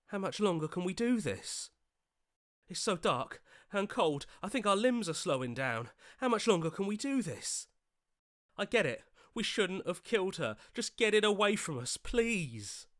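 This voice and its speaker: A man's voice: high-pitched, very animated, a little slow, a bit bright, no room sound, clean studio sound, heavily acted. An actor playing an anxious, earnest young male hero in a video game, hesitant and pleading, sometimes stammering. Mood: frightened.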